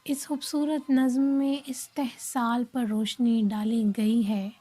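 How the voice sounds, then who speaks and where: A woman reciting and presenting poetry in a measured, deliberate way. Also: fairly high pitch, little pitch movement, dark tone. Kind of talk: expressive Urdu poetry recitation with explanatory introduction. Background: faint background music.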